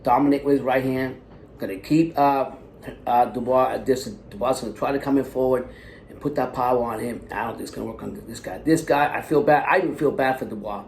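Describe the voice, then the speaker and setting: A man's voice: mid-range voice, steady tone, quick pace, vocal fry, a little mellow, reverberant, slight background noise. A man co-hosting a boxing talk show, giving blunt, profane fight predictions. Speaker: co-host and fight analyst. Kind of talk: casual sports talk.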